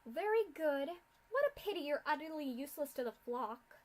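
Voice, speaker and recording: A woman's voice: high-pitched, big pitch swings, normal speed, a little mellow, pitched above the performer's own voice. A woman voicing a sadistic, mocking female pony scientist in a fanfic reading, with a theatrical, mock-polite sneer.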